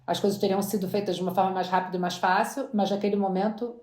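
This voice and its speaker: A woman's voice: medium pitch, fairly even intonation, fast, a little mellow. Chatty woman in a roomy space. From Brazil. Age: 40s. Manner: chatty, restarts words often. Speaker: guest entrepreneur. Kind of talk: casual interview chat. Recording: roomy echo.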